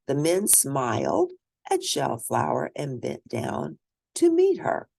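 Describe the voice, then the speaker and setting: A woman reading a children's story aloud and discussing it in an expressive, teacherly manner. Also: low-pitched, takes it slowly, gravelly, husky, no echo, clean studio sound. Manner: expressive, teacherly, asks questions of listeners. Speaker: storybook reader.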